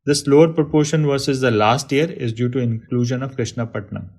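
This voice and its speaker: Indian male executive, medium pitch. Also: fairly even intonation, brisk, darkish tone. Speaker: company executive presenting ESG update. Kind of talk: formal scripted business report.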